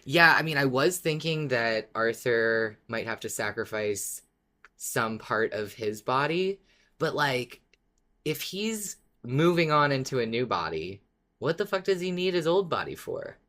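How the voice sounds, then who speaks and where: A woman co-hosting a podcast, chatting casually about a favorite show in an informal, excitable, exaggerating way. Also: very deep voice, measured pace. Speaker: podcast co-host. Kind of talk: casual fan discussion podcast.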